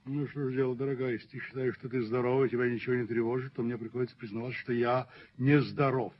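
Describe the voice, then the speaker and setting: An actor playing a genteel, controlling middle-aged father in a radio play, measured, earnest and persuasive. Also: medium pitch, fairly even intonation, close to an everyday voice. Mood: earnest, persuading. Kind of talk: radio play stage acting. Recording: muffled 1970s radio drama recording.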